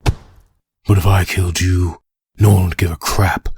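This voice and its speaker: A man playing a vengeful soldier back from the dead in a comic dub, bitter, grim and taunting in a low, husky voice. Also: fairly even intonation, unhurried, darkish tone, no room sound, full character voice. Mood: furious, accusing. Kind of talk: comic dub dramatic acting.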